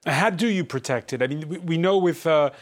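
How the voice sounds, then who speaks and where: A man's voice: on the high side, brisk. A man hosting an interview, asking probing questions with frequent hesitations and filler sounds. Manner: probing, hesitant with many uhs and ums. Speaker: interviewer host.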